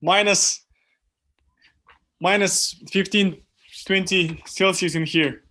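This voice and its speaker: A man's voice: sits high, steady tone, takes it slowly, bright, forceful tone. A man from Kazakhstan, chatting hesitantly as a participant on a video call. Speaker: conference participant.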